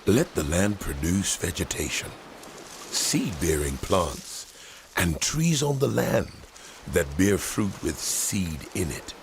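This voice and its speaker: A man as a majestic, slow, deep-voiced God. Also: sing-song, slight background noise, full character voice. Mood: solemn command. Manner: solemn, measured, commanding. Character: God creating the world, commanding, majestic male deity. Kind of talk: dramatized scripture reading.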